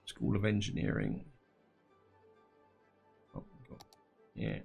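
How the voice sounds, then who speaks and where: A man with a slightly croaky throat giving casual gameplay commentary, thinking aloud and talking to his viewers. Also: lowish voice, very animated, takes it slowly, a little mellow, faint music behind. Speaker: gaming channel host.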